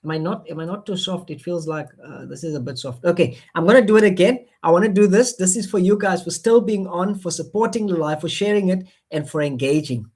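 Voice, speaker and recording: A man whose first language is Afrikaans, speaking English with an Afrikaans accent, giving a coaxing motivational sales pitch on a live phone stream, repeating himself often with many fillers. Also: a little fast, hardly any pauses, noisy background. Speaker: online marketing presenter.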